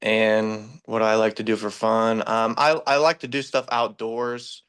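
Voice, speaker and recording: A man's voice: fairly low pitch, little pitch movement, unhurried, a bit bright, clear, resonant tone. A man in his twenties giving a casual self-introduction as a dating show contestant. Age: 20s.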